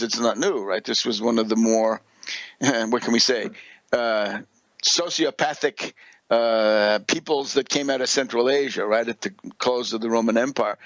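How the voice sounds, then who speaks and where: A man's voice: medium pitch, a bit bright, dry recording, muffled recording. An older man hosting a political radio commentary, speaking in an emphatic, scornful, declamatory way. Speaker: radio show host.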